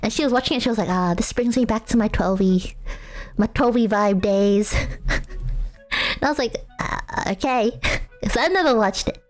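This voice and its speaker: A woman's voice: a little high, normal speed, long pauses, soft background music. A young woman streaming a casual chat with viewers, rambling and self-mocking with lots of fillers and frequent laughter. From Australia.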